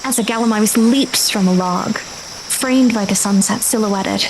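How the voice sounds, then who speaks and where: A woman game master improvising vivid, deliberate narration for a tabletop role-playing game. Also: medium pitch. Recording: clear ambient noise with insect-like sounds.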